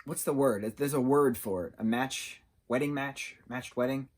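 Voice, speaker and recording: A man of about thirty giving a casual, unscripted TV review, rambling and halting as he searches for his words. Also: medium pitch. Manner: rambling, halting, loses his train of thought. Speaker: TV show reviewer.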